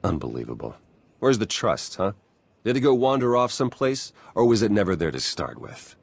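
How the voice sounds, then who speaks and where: Sardonic rogue agent, game cutscene. Low-pitched, conversational, casual, wry. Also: male voice, very animated, normal speed, gravelly, husky, no echo, full character voice. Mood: sarcastic. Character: wry, roguish male Republic spy. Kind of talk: conversational video game acting.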